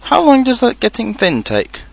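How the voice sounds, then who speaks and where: A man's voice: a little high, very animated, moderate pace, fairly bright tone, dry recording, pitched above the performer's own voice. A man voicing a greedy, anxious, muddled toy bear from a storybook, plaintive and earnest. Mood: anxious, gloomy. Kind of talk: storybook character reading.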